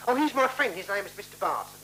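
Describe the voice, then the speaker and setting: An actor playing a timid, literal-minded man in a 1970s radio play, speaking in halting, careful, formal phrases and repeating himself. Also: high voice, sing-song, bright, forceful tone, heavily acted. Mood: polite, precise. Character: timid, literal-minded, polite man sharing a dark lodging room. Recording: noisy, humming 1976 radio recording.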